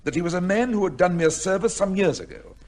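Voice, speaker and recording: An actor playing a proud, imperious judge in an old radio drama, husky and formal in speech. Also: male voice, high voice, animated, a little mellow. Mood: defensive. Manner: imperious, formal, quick to take offence. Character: proud, indignant High Court judge under suspicion.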